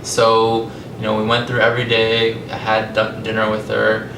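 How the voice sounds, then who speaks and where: A young adult man from California with an American accent gives a public talk, telling his personal story in a conversational, measured way with deliberate pauses. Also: completely flat delivery, creaky. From California, United States. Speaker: language company founder giving a talk. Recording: echoey, distant mic, rumbling noisy room.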